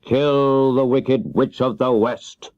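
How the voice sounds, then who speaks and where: A man playing a great storybook wizard, stern and commanding. He draws out his words very slowly and deliberately, on a slightly muffled, dry old cassette. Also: mid-range voice, steady tone, darkish tone, no echo, pitched above the performer's own voice, lightly acted. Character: stern, bargaining great wizard, a giant head. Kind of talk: children's audiobook character voice. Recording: dull 1970s cassette audiobook.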